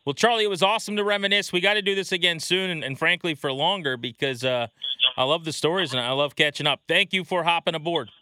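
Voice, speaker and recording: A man's voice: on the high side, quick pace, sharp tone, dry recording. A man hosting a sports podcast in a conversational interview style, close on a studio microphone.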